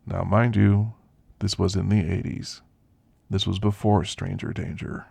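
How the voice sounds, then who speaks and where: Man voicing a gravelly, deep old werewolf. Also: level tone, a little mellow, no echo, full character voice. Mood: wry, confiding. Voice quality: gravelly, husky low voice. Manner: unhurried, folksy, reminiscing with dramatic pauses. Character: elderly male werewolf, folksy, world-weary storyteller, kindly. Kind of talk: ASMR roleplay monologue.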